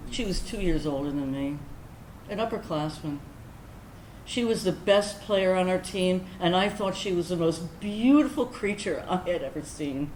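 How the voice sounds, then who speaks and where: Actress as candid woman veteran, animated, echoey room. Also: on the low side, close to an everyday voice. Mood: fond, candid. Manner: confessional, conversational storytelling, wry, with deliberate pauses. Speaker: actor in a staged reading. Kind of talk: dramatic first-person monologue in a staged reading. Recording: roomy echoing stage recording, background noise.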